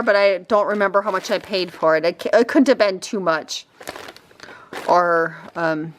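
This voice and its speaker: A woman chatting casually and a bit ramblingly as she shows craft finds, her throat slightly raspy. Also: medium pitch, slight background noise. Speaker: crafting vlogger. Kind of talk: casual chatty haul show-and-tell.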